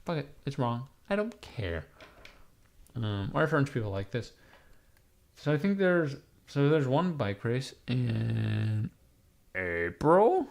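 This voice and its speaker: Man, raspy gravelly voice, mid-pitched, rambling livestream chatter, swears a lot, talks to chat at his computer. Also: very animated, slow, long pauses, darkish tone.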